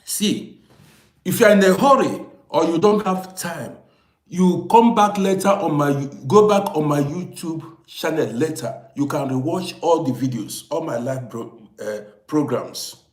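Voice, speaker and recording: A man's voice: on the high side, expressive, a little slow. A man of fifty-eight from Vienna hosts an informal livestream, talking to viewers emphatically and repeating his phrases insistently. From Vienna, Austria. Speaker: live-stream host and storyteller. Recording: echoey room sound.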